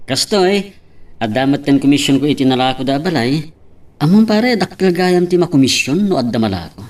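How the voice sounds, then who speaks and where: A man's voice: on the high side, animated, a little fast, darkish tone, close to an everyday voice. A man with an Ilocano accent playing an eager, courteous young suitor in an audio love drama. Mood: jaunty. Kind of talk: Ilocano radio-style drama acting. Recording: faint background noise.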